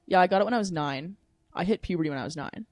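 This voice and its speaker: Deep-voiced young woman, chatty and dry. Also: expressive, rapid-fire, a little mellow, no room sound. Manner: chatty, heavy use of "like", casual swearing. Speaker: podcast guest. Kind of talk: casual chat. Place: apartment room.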